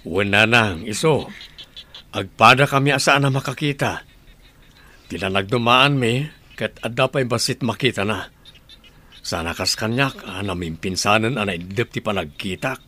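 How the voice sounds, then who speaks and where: A man's voice: lowish voice, moderate pace, bright, forceful tone. A man acting in an Ilocano radio drama, playing a friendly middle-aged father who hopes to win acceptance for his new love. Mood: earnest.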